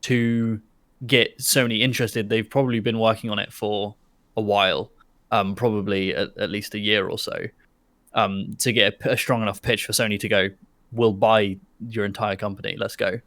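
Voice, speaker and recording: A man's voice: lowish voice, steady tone, normal speed, fairly bright tone, no room sound. A man talking casually as a panelist in a conversation about video games. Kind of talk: casual chat.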